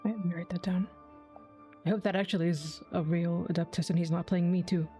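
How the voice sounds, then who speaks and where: A woman's voice: lowish voice, fairly even intonation, fairly quick, mellow. A woman streamer giving casual, sceptical commentary on a game, sardonic and free with swearing. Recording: game music behind the voice.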